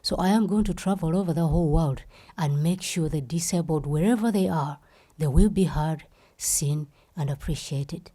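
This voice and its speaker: A woman's voice: sits low, moderate pace, dark tone. A woman from Uganda, the founder of a festival, giving an impassioned speech and repeating phrases for emphasis.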